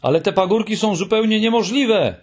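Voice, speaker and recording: A man's voice: on the high side, big pitch swings, a little slow, vocal fry, husky, pitched above the performer's own voice, full character voice. A man voicing a nervous, earnest young male troll creature in an audiobook, speaking in an anxious, pleading way. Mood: urgent. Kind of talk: audiobook character voice.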